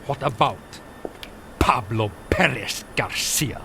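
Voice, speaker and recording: Slow, gravelly, pompous dictator. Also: male voice, mid-range voice, lively intonation, pushed and bright, a little hiss or hum, heavily acted. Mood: irritated, menacing. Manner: imperious, slow, theatrical. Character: pompous, menacing returning dictator, a major general. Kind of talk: audio drama acting.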